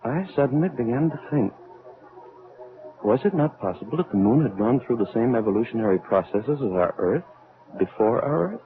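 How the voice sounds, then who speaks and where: Actor playing a grave old scientist, vintage radio sound. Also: male voice, medium pitch, lively intonation, phone-quality sound, close to an everyday voice. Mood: brooding, intense. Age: older. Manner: halting, brooding, theatrical delivery. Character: grave, troubled elderly scientist aboard a spaceship. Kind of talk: old-time radio drama acting. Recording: band-limited vintage radio recording.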